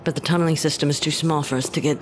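A man's voice: on the high side, steady tone, quick pace, lightly acted. An actor playing a sardonic, protective wolf-kin father in an audio drama, with street noise behind him. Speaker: father helping his daughter.

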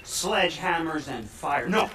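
Eccentric hacker, manic, sharp bright voice, raised pitch, echoey, game cutscene. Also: male voice, lively intonation, measured pace, slight background noise. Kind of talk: video game cutscene acting.

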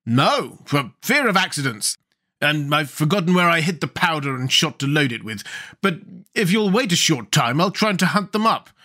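A man's voice: on the high side, very animated, moderate pace, pushed and bright, pitched above the performer's own voice, exaggerated voice. A man voicing a timid, foolish bearded soldier in a storybook. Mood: sheepish, helpful. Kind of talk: storybook character voice.

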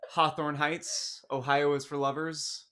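A man's voice: fairly high pitch, flat delivery, measured pace, bright, forceful tone. Man in casual podcast banter. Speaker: podcast co-host. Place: small home studio room.

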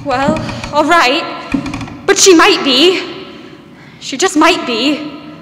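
A young woman acting a suspicious, childlike old aunt, loud and insistent, in a 1940s-style radio drama. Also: high voice, takes it slowly. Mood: grudging, insistent. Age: young adult. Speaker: student actor. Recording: echoey hall, distant, noisy.

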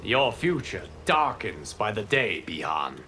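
A man voicing a wise, stern god in a video game, calm, formal and admonishing. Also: on the low side, unhurried. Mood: solemn warning. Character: solemn, wise male fire god, sternly sorrowful. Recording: music playing behind.

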